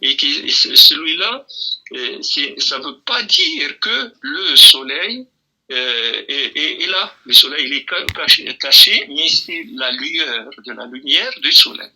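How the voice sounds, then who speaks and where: A man explaining a religious question in a relaxed, hesitant way, with many restarts and fillers, sometimes quoting scripture. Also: fairly high pitch, slow, a little hiss or hum, rough recording. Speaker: imam answering a question.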